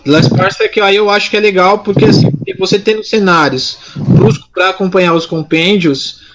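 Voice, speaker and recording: A man's voice: high-pitched, steady tone, normal speed, a bit bright, slight background noise, poor audio. A man co-hosting a casual live podcast, talking informally and often interrupting himself mid-thought. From São Paulo, Brazil. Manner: informal, rambling, self-interrupting. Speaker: podcast co-host. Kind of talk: casual live chat, answering listeners.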